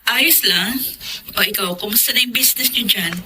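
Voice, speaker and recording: A woman's voice: on the high side, moderate pace, background noise, natural voice. A woman with a Filipino accent playing a feisty young wronged wife in a radio drama. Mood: subdued, forgiving. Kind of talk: Tagalog radio drama acting.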